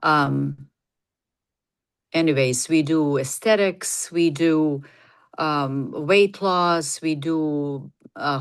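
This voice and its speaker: A middle-aged woman from Bosnia, a native Bosnian speaker, talking casually as an interview guest. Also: deep voice. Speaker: podcast guest, nurse practitioner and clinic founder.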